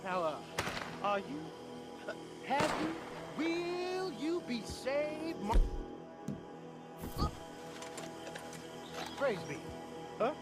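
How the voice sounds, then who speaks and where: An actor playing a young outlaw who poses as a grandiose, awkward preacher, halting as he goes, in a western game. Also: very high voice, very animated, loud music behind the voice, heavily acted. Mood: flustered. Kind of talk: theatrical mock preaching in a video game.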